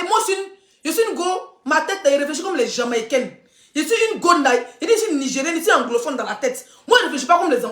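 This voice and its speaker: Woman on a live stream, very high voice, rambles and laughs as she talks. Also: a bit bright, echoey room. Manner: rambling, often laughing mid-sentence. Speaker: live-stream gossip blogger. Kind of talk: casual live-stream commentary.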